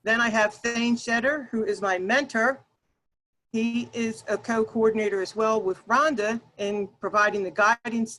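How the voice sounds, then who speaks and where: A woman presenting an online workshop over a video call, talking loudly and emphatically and addressing her audience directly. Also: fairly high pitch, low-quality recording.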